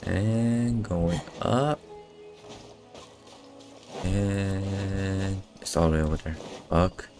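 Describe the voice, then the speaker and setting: A man streaming a game with casual, low-key, muttered commentary and offhand swearing. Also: steady tone, very slow, long pauses, over music. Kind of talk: casual live playthrough commentary.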